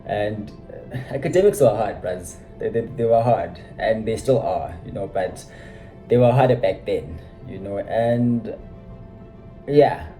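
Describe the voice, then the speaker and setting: Young man, lowish voice, reflective, self-deprecating, casual vlog chat. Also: measured pace, mellow, soft background music, poor audio. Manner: reflective, self-deprecating, many "you know" asides. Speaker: vlogger. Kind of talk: casual personal storytelling.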